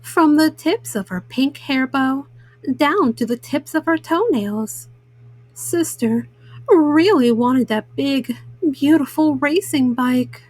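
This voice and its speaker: Slow, high-pitched woman storyteller. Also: darkish tone, no room sound, low-quality recording. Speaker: children's storyteller. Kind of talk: expressive scripted story reading for children.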